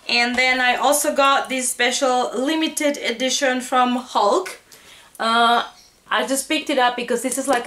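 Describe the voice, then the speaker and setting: A woman's voice: on the high side, a bit bright. A woman chatting casually and enthusiastically as she hosts a haul video, gushing about what she shows. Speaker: video host showing a collectibles haul. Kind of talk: casual haul vlog chat. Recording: echoey room.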